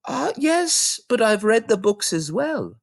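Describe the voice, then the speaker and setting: A man's voice: very high-pitched, very animated, slow pace, gravelly, dry recording, clean studio sound, voice pushed up, exaggerated voice. A man voicing a polite, earnest and precocious four-year-old girl who loves books.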